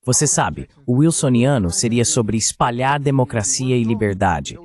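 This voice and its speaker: A man's voice: mid-range voice, expressive, moderate pace, darkish tone. A man with a Brazilian accent speaking as an interview guest, giving political analysis in a translated voice-over.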